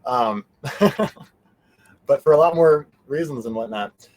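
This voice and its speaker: A man with a medium-pitched voice chats casually as an interview guest. He rambles in a joking way, often breaking off and restarting his own thought. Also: takes it slowly. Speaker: interview guest, convention organizer. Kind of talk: casual fan chat.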